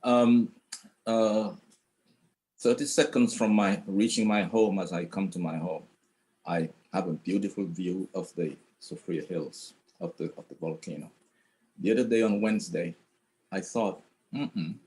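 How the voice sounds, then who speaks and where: Low-voiced man putting rambling questions. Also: steady tone, darkish tone, low-quality recording. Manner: deliberate, rambling, restarts his questions. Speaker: journalist asking questions. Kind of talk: spontaneous press conference questioning.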